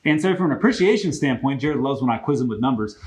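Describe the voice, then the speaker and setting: A man hosting a casual business interview, explaining things conversationally with a gently teasing manner. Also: medium pitch, lively intonation, fast. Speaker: host.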